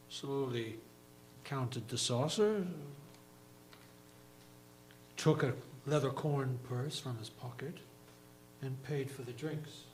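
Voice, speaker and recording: A man's voice: medium pitch, a little hiss or hum, poor audio. A man giving scripted literary narration in a reader's theatre performance.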